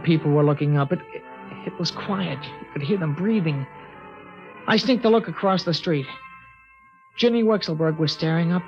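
An actor in a 1950s radio drama, playing a young man who narrates earnestly as a spaceship returns. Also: sits high. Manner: earnest first-person telling, breaks into shouting joy. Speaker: narrator and lead character. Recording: dull old radio recording with music.

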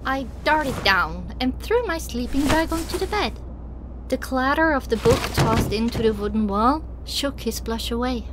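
A woman reading scripted first-person fiction as an audiobook narrator, in an expressive, dramatic way. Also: fairly high pitch, measured pace, background noise.

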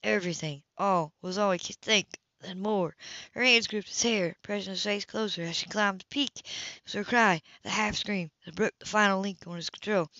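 A woman reading a romance novel aloud as an amateur narrator, slightly halting, stumbling over words and repeating them. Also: medium pitch, bright, forceful tone, no room sound. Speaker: amateur audiobook reader. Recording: dull, muffled lo-fi home recording.

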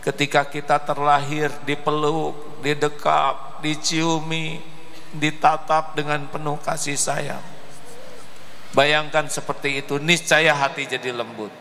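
Slow, deliberate preacher in an echoey hall. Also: male voice, fairly high pitch, flat delivery, pushed and bright, slight background noise. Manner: slow, deliberate, emotive, addresses the congregation. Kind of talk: mosque sermon. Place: large mosque hall, indoors. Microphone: handheld microphone. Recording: echoey hall with faint ambience.

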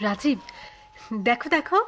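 An actress playing a timid, tender young woman in love in a radio drama, sentimental and soft, with a Bangladeshi accent. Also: fairly high pitch, animated, normal speed, background noise, natural voice. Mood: excited, wondering. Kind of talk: theatrical radio drama acting. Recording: dull, lo-fi radio recording.

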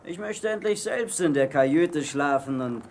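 A man's voice: a little high, expressive, normal speed, a little mellow, slight background noise. A man playing a grumbling, impatient pirate crewman in a radio play.

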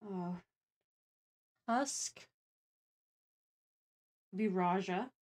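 Slow-paced woman chatting casually on stream. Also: medium pitch, darkish tone. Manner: thinking aloud, deliberate. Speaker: stream host. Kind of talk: casual chat on a livestream.

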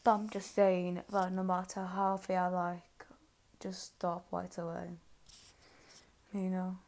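A woman's voice: lowish voice, monotone, takes it slowly, darkish tone. A woman giving casual reaction commentary, hedging and repeating herself in a hesitant way.

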